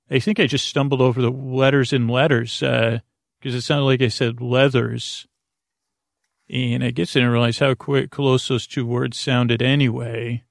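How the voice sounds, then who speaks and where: A man's voice: medium pitch, no room sound, studio quality. A man hosting a sleep podcast in a rambling monologue, meandering and hesitant, often stumbling over his words. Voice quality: creaky. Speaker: podcast host.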